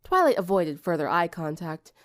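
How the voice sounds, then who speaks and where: A woman's voice: on the low side, sing-song, normal speed, no echo, clean studio sound. A woman reading scripted fiction as an audiobook narrator.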